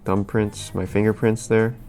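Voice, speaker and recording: A man's voice: lowish voice, little pitch movement, unhurried, a little mellow, faint music behind. A man hosting a live stream, chatting casually and rambling as he answers questions from chat, a little sniffly. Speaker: livestream host.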